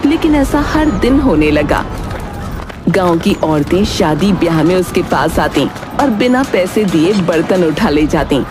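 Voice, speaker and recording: A woman's voice: lowish voice, big pitch swings, unhurried. A woman narrating a scripted children's story as the storyteller. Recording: background music under the voice.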